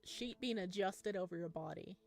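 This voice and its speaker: A woman's voice: fairly low pitch, normal speed, vocal fry, darkish tone, dry recording. A woman doing a casual let's-play, reading game narration aloud dramatically and commenting as she goes. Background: music.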